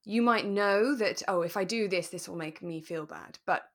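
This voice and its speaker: A woman co-hosting a podcast in relaxed, conversational style, talking thoughtfully and a little tentatively. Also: medium pitch, normal speed. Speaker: podcast co-host.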